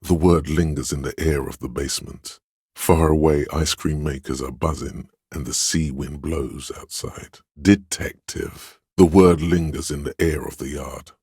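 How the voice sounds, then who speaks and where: Domineering inner voice, extremely deep, resonant, pompous, commanding, measured game narration, dry close sound. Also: male voice, steady tone, a little mellow, slightly rough, no echo, heavily acted. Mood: grave, narrating. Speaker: video game voice actor.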